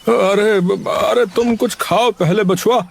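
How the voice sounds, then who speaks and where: A man's voice: high voice, fairly even intonation, slow, creaky, breathy edge, exaggerated voice. A man voicing a caring, bossy uncle in a cartoon story, fussing and repeating "arre" again and again. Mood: worried. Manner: fussy, repeating "arre" over and over. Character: caring middle-aged uncle, worried and bossy. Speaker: uncle of the boy. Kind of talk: cartoon voice acting.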